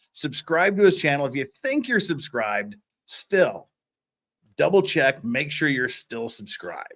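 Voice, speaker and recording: A man hosts a casual livestream with a highly animated, swinging delivery. He chats off the cuff and teases his audience in a playful, joking way. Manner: jokey teasing, playfully scolding viewers. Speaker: livestream host. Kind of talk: casual livestream chat, thanking viewers and reading comments.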